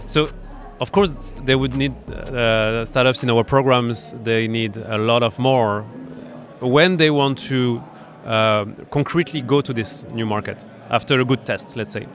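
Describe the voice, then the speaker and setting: A man with a French accent, a startup accelerator founder being interviewed at a startup event, explaining his business in a halting way full of fillers and restarts. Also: medium pitch, fairly bright tone, faint music behind. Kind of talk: conversational interview.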